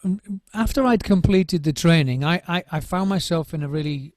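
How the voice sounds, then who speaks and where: Reflective man, slightly high, dry sound. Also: normal speed, a little mellow, no echo. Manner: searching for words, many restarts and repeated words. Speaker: drum circle facilitator and trainer. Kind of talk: reflective interview answers.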